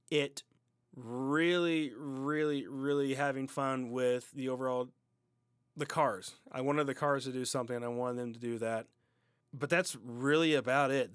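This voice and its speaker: Enthusiastic man, clear higher-pitched voice. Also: a bit bright, no echo, studio quality. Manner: enthusiastic, emphatic, repeats words for emphasis. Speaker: music podcast host. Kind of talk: casual music review commentary.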